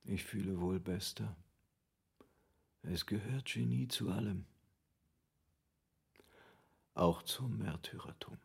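An actor playing a despairing, grief-stricken nobleman in a radio drama, lamenting haltingly in a gravelly, husky voice. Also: fairly low pitch, full character voice. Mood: resigned despair. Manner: slow, anguished, halting lament. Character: despairing, grief-stricken nobleman longing to follow his lost love. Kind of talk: literary radio drama acting.

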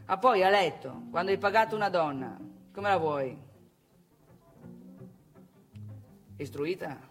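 A woman's voice: lowish voice, animated, normal speed, faint music behind. A woman playing a crude, boastful male travelling salesman, in a confrontational, colloquial theatrical monologue. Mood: smug, crude. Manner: colloquial, confrontational, addressing the audience with rhetorical questions.

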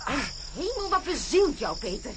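High-pitched anxious woman astronaut. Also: sing-song, normal speed. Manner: urgent, worried. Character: anxious, determined female astronaut struggling through jungle. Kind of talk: radio drama acting. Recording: insect-filled jungle noise behind. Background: loud insect and animal ambience.

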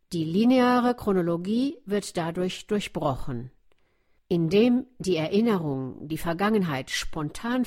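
A woman reading a scripted documentary narration in a deliberate, explanatory way. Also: mid-range voice, darkish tone.

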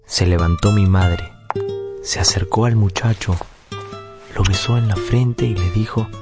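A nostalgic storyteller recalling his childhood in a radio drama. His low voice is measured and poetic, over guitar music. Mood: sorrowful. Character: nostalgic grown son recounting his childhood. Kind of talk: literary radio drama narration.

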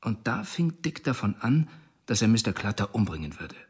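A plain-spoken prison informant in a radio play. His voice is husky and mid-pitched, with lively rises and falls as he recounts events uneasily. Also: normal speed, full character voice. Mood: confessional. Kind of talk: radio drama monologue.

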